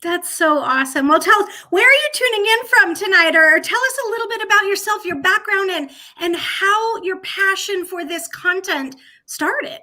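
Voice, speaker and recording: High, bright, bubbly woman host. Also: expressive, moderate pace, hardly any pauses. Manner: bubbly, effusive. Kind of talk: enthusiastic livestream hosting.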